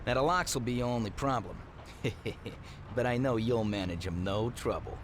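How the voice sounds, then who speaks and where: A man's voice: mid-range voice, normal speed, natural voice. Man playing matter-of-fact shady mechanic, faint street noise. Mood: conspiratorial, assured. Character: shady, helpful car mechanic tipping off a thief. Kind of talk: video game voice acting.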